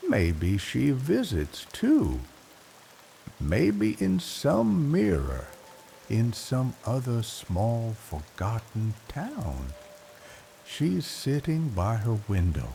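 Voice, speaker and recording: An older man narrating a bedtime story in a slow, reflective, wistful way, leaving long pauses between phrases. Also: on the low side, creaky. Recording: rain noise behind the voice. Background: soft rain ambience.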